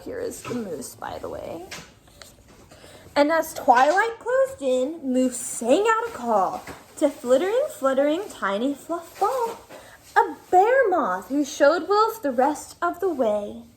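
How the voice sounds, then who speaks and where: Animated high-voiced woman reading a story. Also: slight background noise, low-quality recording. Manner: animated, dramatic storytelling delivery. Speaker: schoolteacher reading to students. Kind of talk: expressive picture-book read-aloud with casual asides.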